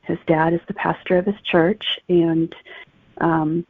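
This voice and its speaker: A woman's voice: fairly low pitch, fairly even intonation, takes it slowly, no room sound. A woman author being interviewed, explaining things conversationally over a thin phone-like connection. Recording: thin phone-like, dry sound.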